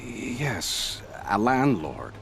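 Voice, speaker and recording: A man playing a shrewd, calculating court adviser in a video game, speaking in a deliberate, politic way. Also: medium pitch, big pitch swings, creaky, background noise, full character voice. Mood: pondering. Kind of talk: video game cutscene acting. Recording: music behind the voice.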